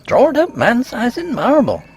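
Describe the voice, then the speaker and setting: A man voicing a superstitious old peasant housekeeper, a stubborn woman with a husky high voice and rustic, foreboding speech in a ghost story. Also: huge pitch swings, unhurried, darkish tone, exaggerated voice. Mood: ominous, hushed. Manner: rustic dialect, guarded and foreboding.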